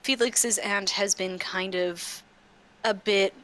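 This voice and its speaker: Slow, halting woman game master. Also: mid-range voice, steady tone, a bit bright. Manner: halting, repeats words. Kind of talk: narrating a tabletop roleplaying game.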